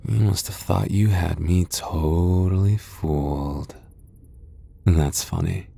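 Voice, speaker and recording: A man's voice: deep voice, takes it slowly, creaky, warm tone, exaggerated voice. A male voice actor playing a proud tsundere vampire lord in an ASMR boyfriend roleplay, haughty and defensive, stammering when flustered. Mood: grudging, flustered.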